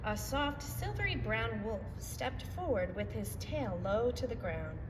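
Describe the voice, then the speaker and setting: A woman narrates a children's story expressively, with deliberate and dramatic phrasing. Her voice is fairly high, picked up from a distance with a long reverb and clear noise behind it. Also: lively intonation, music in the background. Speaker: storybook reader. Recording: echoey hall, distant mic, clear noise.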